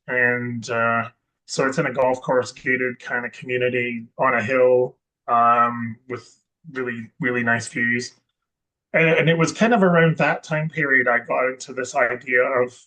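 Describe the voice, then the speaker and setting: A man from Scotland, a guest investor chatting in an interview, rambling and correcting himself with many pauses and restarts. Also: medium pitch, a bit bright, low-quality recording. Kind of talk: casual interview chat.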